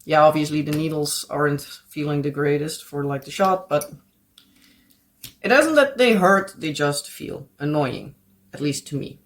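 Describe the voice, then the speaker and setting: A woman's voice: sits low, measured pace, slight background noise. A Dutch woman with a Dutch accent chatting casually at home as a craft vlogger, rambling and searching for English words with frequent little laughs. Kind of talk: casual chat while crafting.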